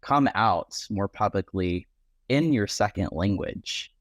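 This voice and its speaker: A man's voice: fairly low pitch, lively intonation, takes it slowly, no echo, studio quality. A man hosting a casual interview, affirming his guest and laughing often.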